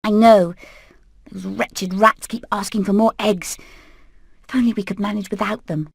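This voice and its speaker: A woman's voice: mid-range voice, steady tone, normal speed, creaky, breathy edge, no room sound. A woman voicing a determined young hen who leads an escape, earnest and urgent, in a cartoon video game. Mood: urgent, businesslike. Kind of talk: animated film game voice acting.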